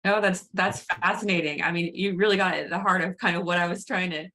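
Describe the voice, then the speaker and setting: A woman's voice: on the low side, fairly even intonation, a little fast, sharp tone. A woman moderating an online academic talk, appreciative and fluent. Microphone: video call.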